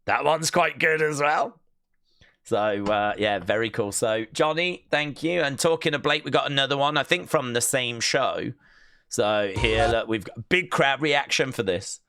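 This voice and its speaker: Male host, casual sports-entertainment commentary, forceful bright tone, very dry close sound, chatty step-by-step explainer. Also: mid-range voice, moderate pace, no echo. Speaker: host of a wrestling news show. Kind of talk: casual news commentary and clip reactions.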